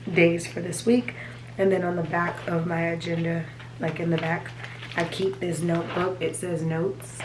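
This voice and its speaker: Chatty woman vlogger, low raspy voice, hoarse, kinda echoey room with noise behind. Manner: rambling, chatty, talks to viewers as "you guys". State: hoarse from allergies. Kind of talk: casual chat. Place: home, at a desk.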